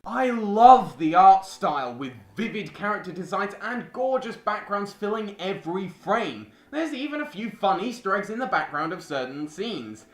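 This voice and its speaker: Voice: mid-range voice, sing-song, normal speed, hardly any pauses. A young adult reading a scripted video essay as a film reviewer, with music behind. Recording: roomy voice-over with music behind.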